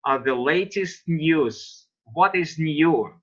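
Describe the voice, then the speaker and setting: A man teaching English online, asking students slow, patient questions and explaining grammar. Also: on the high side, expressive, highs cut off.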